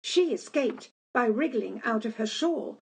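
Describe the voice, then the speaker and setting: Woman acting a high, husky, grave female messenger. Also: lively intonation, unhurried, exaggerated voice, poor audio. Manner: hesitant, polite, grave. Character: apologetic, formal female company messenger bearing bad news. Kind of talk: radio play acting.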